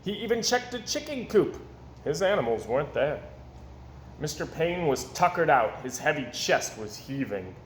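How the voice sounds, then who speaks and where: Male children's storyteller, higher-pitched and very expressive, roomy sound with faint noise. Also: normal speed. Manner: theatrical, expressive. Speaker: storyteller reading a children's book. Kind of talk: animated read-aloud of rhyming picture book.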